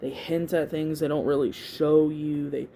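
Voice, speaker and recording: Androgynous voice, relaxed dark tone, rambling opinion vlog, hesitant repetitions, faint ambient noise. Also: medium pitch, moderate pace, gravelly. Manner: hesitant, repeats words, thinking aloud. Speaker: YouTube video creator giving opinions. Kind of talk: casual rambling opinion talk to camera.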